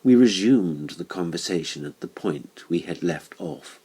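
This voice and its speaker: Deep, slow, husky male narrator. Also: lively intonation, dark tone. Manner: measured, deliberate. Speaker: audiobook narrator. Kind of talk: dramatic horror story narration.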